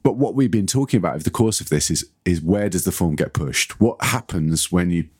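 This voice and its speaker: A man with a very low, husky voice, recorded close and dry. He talks as a podcast co-host in a loose, thoughtful discussion, building his points at length in a conversational way. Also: lively intonation, fairly quick, a little mellow, no room sound. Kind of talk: casual analytical discussion.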